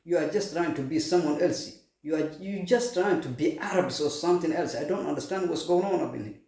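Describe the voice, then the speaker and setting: A man's voice: fairly high pitch, fairly quick, creaky, darkish tone. Lecturing man in an echoey room. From Somalia. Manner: lecturing, scolding. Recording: distant echoey mic.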